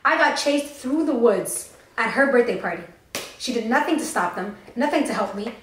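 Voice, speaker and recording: A young woman co-hosting a podcast, telling a story fast and with lots of swearing while doing her makeup on a couch. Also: on the high side, echoey room, slight background noise. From Tennessee. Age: young adult. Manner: fast, profane, animated storytelling. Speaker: podcast co-host. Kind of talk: casual storytime chat. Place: living room, on a green couch.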